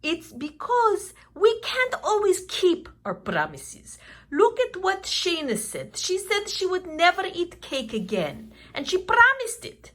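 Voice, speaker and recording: Woman as high, kindly, excitable puppet uncle. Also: full character voice. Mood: instructive. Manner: exclaiming, slow, instructive. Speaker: children's puppet show performer. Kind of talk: puppet show for children.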